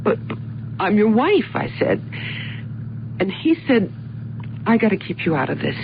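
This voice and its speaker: A woman's voice: medium pitch, sing-song, unhurried. An anxious, distraught wife in a vintage radio drama. She pleads earnestly and will not be put off. The recording is thin and boxy, with some noise beneath the voice. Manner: imploring, insistent, earnest. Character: distraught, pleading wife of a missing truck driver. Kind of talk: old-time radio drama acting. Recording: noisy, narrow-band old radio recording.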